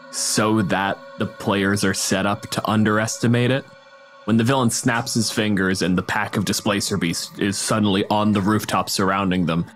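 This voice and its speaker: A man with a low-pitched voice talks casually as a podcast panelist. He explains game ideas at length in vivid, descriptive runs, with clear music playing behind him. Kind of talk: casual panel discussion about tabletop games.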